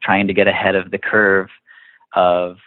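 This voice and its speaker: A man with a lowish voice talks casually and thoughtfully as an interview guest. He sounds like he is on a narrow phone line, dry and without room echo. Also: completely flat delivery, measured pace.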